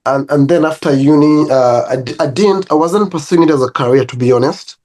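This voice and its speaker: A man's voice: fairly high pitch, brisk. A young Ugandan man with a Ugandan accent, a personal trainer chatting easily as an interview guest, laughing between stories. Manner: relaxed storytelling with laughs and restarts. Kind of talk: casual interview.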